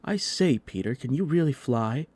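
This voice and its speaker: An eager young storybook boy played by a male narrator. The voice is pitched well up, excitable and exclaiming, with a practical, bossy streak. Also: normal speed, dry recording, close to an everyday voice. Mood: eager, curious.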